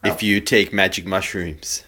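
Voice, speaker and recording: A man's voice: low voice, fairly even intonation, measured pace. A man giving joking, mock-documentary commentary from behind a handheld camera in a living room. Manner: mock-documentary narration, playful. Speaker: person filming. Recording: noisy handheld camera audio.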